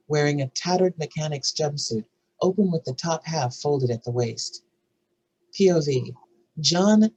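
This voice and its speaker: Brief: female narrator for screenplay directions, scripted read, husky tone. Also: sits low, a little mellow, poor audio. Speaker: narrator reading stage directions.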